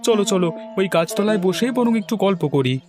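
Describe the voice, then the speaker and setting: A man's voice: sits high, lively intonation, fairly quick, over music. A man voicing a suspicious, complaining younger village farmhand in a cartoon, speaking emphatically. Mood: grumbling, suspicious. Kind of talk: cartoon voice acting.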